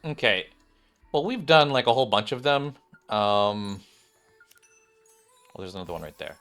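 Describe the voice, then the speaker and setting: A man's voice: fairly low pitch, expressive, moderate pace, fairly bright tone, smooth. A man streaming a video game with casual, slangy commentary and grumbling asides. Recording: game music behind the voice.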